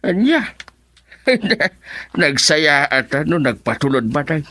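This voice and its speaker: An actor playing a cheerful old Ilocano grandfather in a radio drama, with an Ilocano accent and a drawn-out, chuckling delivery. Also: a little high, big pitch swings, sharp tone, dry recording, heavily acted. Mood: delighted. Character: cheerful old father-in-law, a village grandfather.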